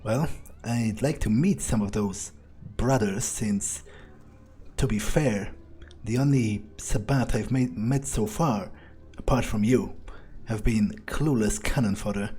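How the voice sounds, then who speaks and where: A man roleplaying a blunt rookie vampire in a video game, with a husky voice and a measured, hesitant, conversational manner. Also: lowish voice, mellow. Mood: guarded, blunt. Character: male rookie vampire turncoat, blunt, self-justifying.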